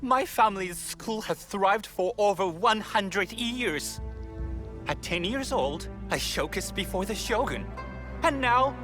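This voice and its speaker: Game role: proud, anguished painter, high-pitched, slow, dramatic lament. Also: male voice. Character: proud, anguished master painter of feudal Japan.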